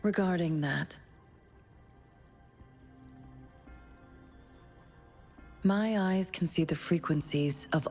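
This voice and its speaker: Calm young female bodyguard, lowish voice, measured and courteous, video game dialogue, long hall reverb, music behind. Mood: measured, solemn. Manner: polite, measured, formal.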